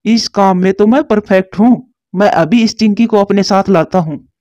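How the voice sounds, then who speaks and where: Man as a high-voiced sly crow uncle. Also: normal speed, darkish tone, no echo, lightly acted. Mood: eager scheming. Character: sly, flattering male crow uncle, scheming sidekick. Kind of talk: Hindi children's cartoon dubbing. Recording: muffled lo-fi dub with music.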